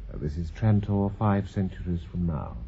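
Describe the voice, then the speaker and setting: An actor playing an authoritative senior professor with a British accent, measured and probing like a tutor, in a radio drama. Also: male voice, low voice, very animated, creaky, mellow, a little hiss or hum, heavily acted. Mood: probing, instructive. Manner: measured, commanding, questioning like a tutor. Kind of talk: BBC radio drama acting. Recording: slightly dull radio drama recording.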